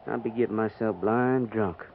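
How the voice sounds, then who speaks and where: Actor as bitter drawling cavalry private, tinny radio. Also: male voice, on the low side, normal speed, lightly acted. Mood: bitter, griping. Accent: rural American. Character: bitter, grumbling frontier cavalry private, insubordinate. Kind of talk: 1950s radio drama acting. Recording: tinny, band-limited, noisy 1950s radio recording.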